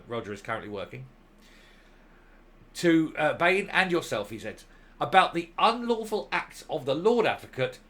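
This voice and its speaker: A male commentary host reading a document aloud and commenting on it, stressing key words with deliberate, emphatic phrasing. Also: on the high side, a bit bright.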